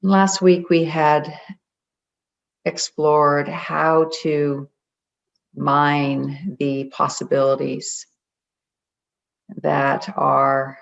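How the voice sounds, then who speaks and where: A meditation guide leading a group in a gentle, deliberate way, leaving long pauses between phrases. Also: medium pitch, level tone, gravelly. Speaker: meditation guide, spiritual coach. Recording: dull, muffled lo-fi audio.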